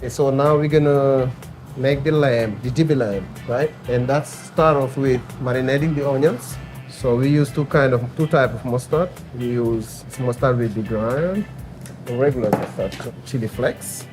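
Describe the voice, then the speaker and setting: A man from Senegal with a Senegalese accent, Wolof his first language, a restaurant owner and chef talking calmly about his food and life. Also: mid-range voice, moderate pace, soft background music. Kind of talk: documentary interview, explaining his food.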